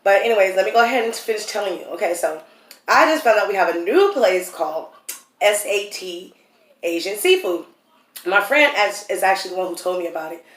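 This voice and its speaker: A woman's voice: mid-range voice, expressive, fairly quick, a bit bright. A woman, congested and slightly wheezy, chatting casually to camera as a food vlogger. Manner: chatty, storytelling, restarts and coughs. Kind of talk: casual mukbang chat to camera. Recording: echoey room.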